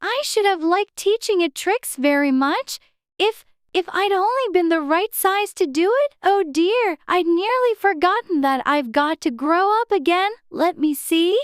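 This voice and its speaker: A very high, piping little girl, curious and well-mannered, musing aloud in a prim, wondering voice. The storybook reading is dry and close, with no room around it. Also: lively intonation, measured pace, no room sound. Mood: wistful. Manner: prim, wondering, talks to herself. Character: curious polite young girl. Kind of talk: dramatized audiobook reading.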